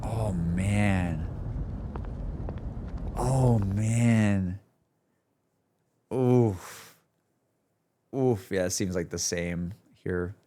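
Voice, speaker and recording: A man's voice: fairly low pitch, very slow, pauses often, warm tone. A man streaming casual, slangy, exclamatory reactions to a game as he talks to his viewers.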